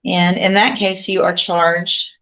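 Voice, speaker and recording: Low-pitched woman judge questioning methodically. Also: level tone, slow, creaky, fairly bright tone, low-quality recording. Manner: procedural, methodical. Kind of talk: formal courtroom plea questioning.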